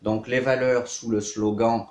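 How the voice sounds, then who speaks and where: A man in his thirties from south-east France, a riding coach, introducing himself in measured, hesitant short phrases for an online course. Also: medium pitch, little pitch movement, a little mellow. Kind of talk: scripted-outline self-introduction to camera for an online course. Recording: echoey, reverberant room.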